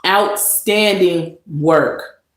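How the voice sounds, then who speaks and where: A woman vlogger delivering a sarcastic monologue of mock praise, with drawn-out emphasis. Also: fairly low pitch. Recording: echoey room with noise.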